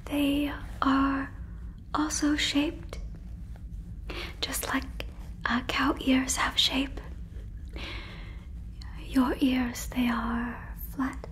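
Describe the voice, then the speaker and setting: A woman voicing an earnest, protective alien in an ASMR roleplay, whispering breathily close to a microphone with halting, stilted phrasing. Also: high voice, level tone, fairly bright tone, a little hiss or hum, heavily acted. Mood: curious, helpful. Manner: halting, stilted phrasing like a learner of human words. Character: earnest female alien, protective, gently convinced listener is a cow. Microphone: fluffy microphone, very close.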